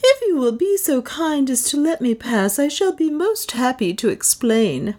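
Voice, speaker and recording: A woman's voice: high-pitched, very animated, unhurried, darkish tone, pitched above the performer's own voice, exaggerated voice. A woman reading aloud and voicing a cool, bold Englishman who speaks with polite courtesy. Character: adult male English adventurer, cool and bold.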